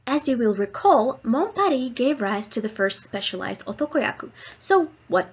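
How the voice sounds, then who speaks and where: A woman delivering a scripted academic lecture in a measured, explanatory manner. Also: fairly high pitch, expressive, slightly rough, muffled recording. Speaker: guest lecturer.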